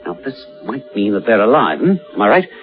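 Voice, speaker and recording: Low-voiced, sober British storyteller. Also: male voice, sing-song, fairly quick. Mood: reasoning, concerned. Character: sober, uneasy male narrator of sea horror tale. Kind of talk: dramatised first-person radio narration and dialogue. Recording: dull radio recording, faint music.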